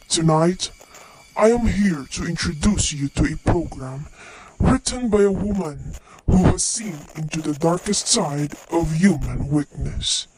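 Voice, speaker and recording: A man's voice: a little high, a little mellow, slight background noise, poor audio. A man playing a sinister, irritable, pompous host who introduces an old-time radio thriller in a dramatic manner.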